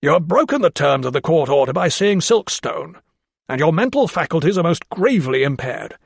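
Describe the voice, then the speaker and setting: A pompous older lawyer, coldly menacing and patronising, his voice pitched a little above the reader's own, as a male narrator puts him on. The read is close and dry. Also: very animated, a little fast, creaky, slightly rough, dry recording, heavily acted. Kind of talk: audiobook character voice.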